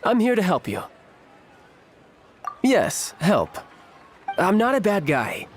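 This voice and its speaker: Suave, higher-pitched young envoy. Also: male voice, wildly swooping pitch, takes it slowly, a little hiss or hum, full character voice. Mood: breezy, disarming. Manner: smooth, teasing, theatrical. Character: suave, playful young male foreign envoy with hidden cunning. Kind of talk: fantasy video game voice acting.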